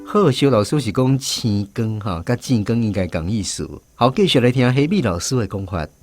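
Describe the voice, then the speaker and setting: A man's voice: medium pitch, animated, normal speed, a little mellow, dry recording, faint music behind. A man with a Taiwanese accent hosting a language quiz segment on radio, often chiming in with agreement. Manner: frequent agreeing interjections. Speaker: radio host. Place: radio studio.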